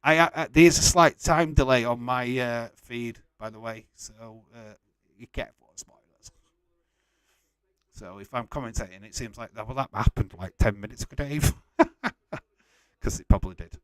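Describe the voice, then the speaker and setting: A man in his forties with a Yorkshire accent chats casually as a livestream host. His voice is mid-pitched, and his delivery is blunt, chummy and informal. The sound is dry, with very little room echo. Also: moderate pace, fairly bright tone, clear, resonant tone. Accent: Yorkshire English. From West Yorkshire, England. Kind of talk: casual watch-along chat, reading out viewer comments.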